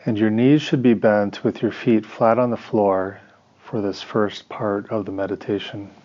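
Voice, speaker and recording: A man leading a guided meditation as a teacher, giving measured instructions in short phrases with pauses between them. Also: on the low side, steady tone, warm tone, dull audio.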